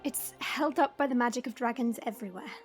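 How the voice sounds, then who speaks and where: A woman playing a noble, composed dragon woman in a fantasy audio drama, precise and formal, knowing and gently scolding. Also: on the high side, a little fast, music in the background, close to an everyday voice. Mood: composed, explaining.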